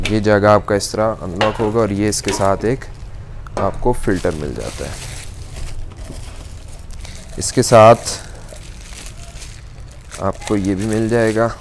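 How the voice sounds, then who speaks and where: A man's voice: mid-range voice, darkish tone, slight background noise. A young man, a shop salesman who demonstrates a kitchen appliance step by step in an electronics store. He talks patiently and often stretches "aa" fillers between phrases. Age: young adult. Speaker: shop salesman reviewing products. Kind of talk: product demonstration.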